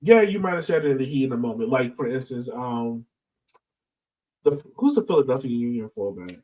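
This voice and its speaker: A man's voice: medium pitch, expressive, a little fast, warm tone, recorded from a distance, low-quality recording. A man hosting a casual podcast discussion in a conversational way, often leaning on "like" and "you know". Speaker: podcast host.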